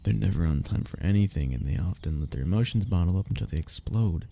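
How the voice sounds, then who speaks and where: A young man playing a wistful, lovestruck childhood best friend in an intimate audio roleplay, speaking in a halting, sighing, confessional way. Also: sits low, lively intonation, gravelly, warm tone, full character voice. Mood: tender, lovestruck. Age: young adult.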